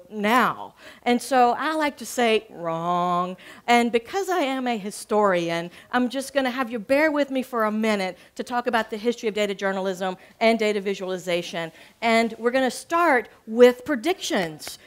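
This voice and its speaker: A middle-aged American woman, a professor, giving a conversational slide talk and explaining things with emphasis on key words. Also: a little high. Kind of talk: informal lecture with slides. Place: medium-sized cafe room with an audience, indoors.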